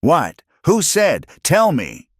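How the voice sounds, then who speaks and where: A man voicing a hardened frontier man with a low, gruff growl, protective and quietly furious. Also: wildly swooping pitch, takes it slowly, dry recording, studio quality, heavily acted. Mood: urgent, pleading. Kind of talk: dramatic storybook narration.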